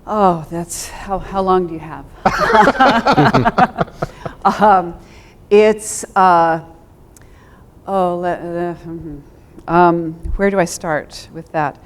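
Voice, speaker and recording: A woman speaking as a guest theater director in a panel discussion, thoughtful and expressive, pausing as she thinks. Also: on the low side.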